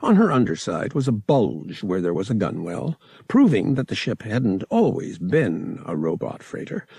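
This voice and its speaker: Low, gravelly man narrating an adventure novel. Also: very animated, normal speed, mellow, no room sound, studio quality. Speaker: audiobook reader. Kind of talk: scripted narration of a science fiction novel.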